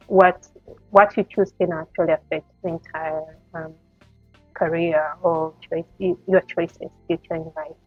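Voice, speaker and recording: A woman's voice: lowish voice, dry recording. A Tanzanian-accented woman, a medical doctor, answering interview questions thoughtfully, often repeating words as she gathers her thoughts. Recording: thin phone-like call audio.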